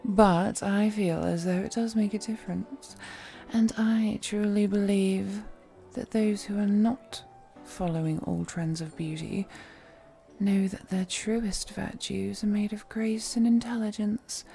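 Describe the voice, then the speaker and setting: Woman acting as ancient flirty vampire lady, courtly and refined, really unhurried, some creak in the voice, roleplay audio w music behind. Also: medium pitch, mellow. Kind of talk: scripted roleplay audio, intimate one-sided dialogue to the listener.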